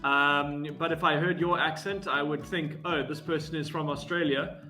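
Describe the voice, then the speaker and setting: A man English tutor giving measured, honest feedback on a student's speaking. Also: fairly high pitch, fairly even intonation, pushed and bright, faint music behind. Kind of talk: casual chat.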